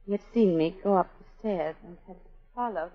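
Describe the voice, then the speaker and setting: An actress playing a guarded, troubled governess in a clipped, dramatic 1940s radio style. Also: female voice, on the low side, fairly quick, natural voice. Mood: reflective. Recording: dull 1940s radio broadcast, music behind.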